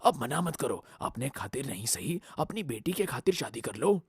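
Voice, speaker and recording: Lady doing a cartoon eagle guy, really raspy n breathy, pitched down, urging a pal along. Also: big pitch swings, moderate pace, darkish tone, no room sound, clean studio sound, full character voice. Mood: persuading. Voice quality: husky, breathy. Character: male eagle, advising friend of the crow.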